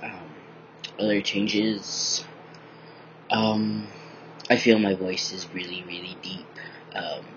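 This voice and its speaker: A young man vlogging in a bright room at home, rambling casually with lots of ums, a little groggy from just waking up. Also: sits low, takes it slowly, pauses often, a little hiss or hum. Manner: rambling, many ums, relaxed and candid. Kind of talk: casual personal video diary. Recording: dull lo-fi webcam audio.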